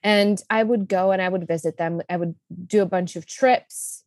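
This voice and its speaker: A woman's voice: medium pitch, fairly even intonation, normal speed, darkish tone, no room sound, clean studio sound. Czech-accented woman, chatty and upbeat. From Czech Republic. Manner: enthusiastic, talkative, frequent fillers. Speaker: guest, business coach. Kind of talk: casual interview storytelling.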